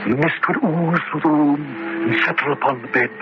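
An actor playing a pompous, suave and self-admiring gentleman adventurer in a florid, theatrical comic horror radio drama style. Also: on the high side, a little fast, close to an everyday voice. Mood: grandiose, nostalgic. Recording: muffled, noisy old radio drama recording.

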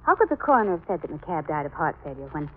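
A thin, tinny old radio recording carries an anxious lady companion. Her voice is breathy and very lively in pitch, earnest and inquisitive in 1940s drama style. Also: fast talker, full character voice. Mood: puzzled.

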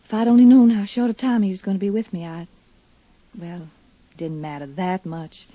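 A woman's voice: mid-range voice, fairly even intonation, normal speed, lightly acted. Woman acting an earnest young radio heroine, dull sound. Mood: wistful, earnest. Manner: earnest, confiding, firm. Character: poised, earnest, grieving young woman, self-assured. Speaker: radio drama actress. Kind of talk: 1950s radio drama acting. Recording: dull, dry 1950s radio recording.

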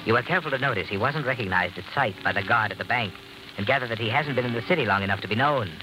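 An actor playing a taunting, all-knowing narrator in old-time radio suspense, insinuating and prodding with rhetorical questions. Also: male voice, medium pitch, racing, lightly acted, rough recording. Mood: ominous, suspenseful. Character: taunting, omniscient male inner-voice narrator of doom.

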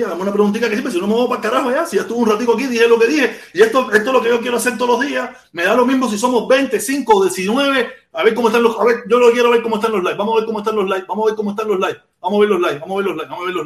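Man, Cuban accent, high voice, slangy repetitive livestream chatter, casual swearing, roomy echoing sound. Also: racing, few pauses. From Cuba. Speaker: livestream host. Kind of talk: informal live political rant and chat with commenters. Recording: echoey untreated room.

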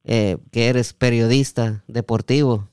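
A man hosting a casual interview, halting and repeating words as he forms his questions. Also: mid-range voice, fairly even intonation, dark tone, clean tone, no echo, clean studio sound. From Guatemala. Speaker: podcast host.

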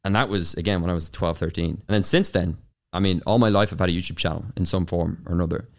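A young man with an Irish accent, a guest on an interview show, answering in a casual, rapid way full of fillers and restarts. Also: low voice, steady tone. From Ireland. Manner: fast, filler-heavy with many restarts. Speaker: YouTube consultant, guest.

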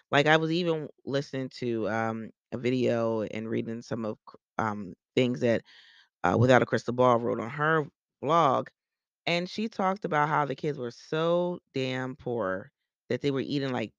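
A male podcast host with a gravelly, creaky voice, recorded close and dry with no room sound. He talks bluntly and opinionatedly, swearing freely and circling back through restarts as he rambles. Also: mid-range voice, fairly bright tone, dry recording. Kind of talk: casual gossip commentary.

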